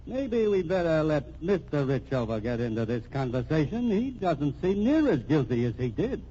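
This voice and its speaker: An actor playing a plain-spoken, puzzled police captain in a vintage radio mystery drama. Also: male voice, a little high, very animated, moderate pace, mellow. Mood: puzzled, folksy. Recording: dull, noisy old radio recording.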